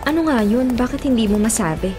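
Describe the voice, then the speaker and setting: A Filipino woman acting an anxious, doubting young girlfriend in a radio drama, hesitant, coy and expressive. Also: a little high, a little fast, natural voice. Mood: coy, teasing. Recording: music playing behind the voice.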